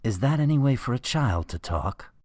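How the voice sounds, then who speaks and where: An actor playing a smug, mocking criminal mastermind in a radio drama. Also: male voice, medium pitch, lively intonation, normal speed, darkish tone, no room sound, studio quality, lightly acted. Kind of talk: radio drama villain acting.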